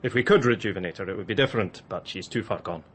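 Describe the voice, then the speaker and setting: An actor playing a callous doctor in a radio drama, clinical and condescending. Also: male voice, low voice, fast talker, husky. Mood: detached.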